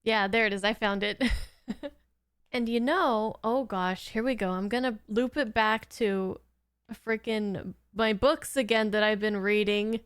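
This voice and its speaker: A woman's voice: medium pitch, unhurried, smooth, dry recording, clean studio sound. A woman giving casual reaction commentary in an informal, giggly, rambling way. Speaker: host of a reaction channel.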